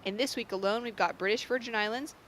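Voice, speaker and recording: A woman's voice: fairly high pitch, quick pace, fairly bright tone, a little hiss or hum. A woman commentating a surfing contest live, upbeat and full of facts. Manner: upbeat, informative.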